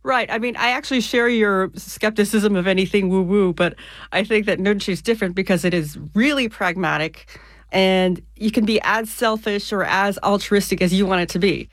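A woman from the United States, an author being interviewed on the radio, explaining ideas clearly with everyday examples. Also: medium pitch, moderate pace, no room sound.

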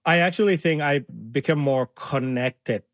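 A man's voice: on the high side, normal speed, dry recording, clean studio sound. A man from Somalia, an interview guest talking earnestly and acting out others' words with animation. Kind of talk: conversational interview.

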